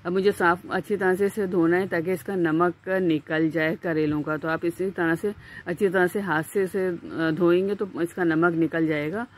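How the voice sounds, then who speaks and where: A woman with a Pakistani Urdu accent, hosting a home cooking channel and explaining each step in a chatty voice-over with small pauses. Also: lowish voice, fairly even intonation. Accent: Urdu-speaking Pakistani.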